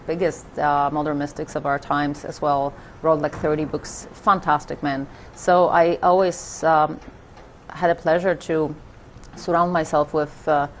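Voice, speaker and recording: A woman from Poland with a Polish accent, a spiritual teacher talking in an interview, halting with many fillers and restarts. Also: low voice, slight background noise. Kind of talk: interview conversation.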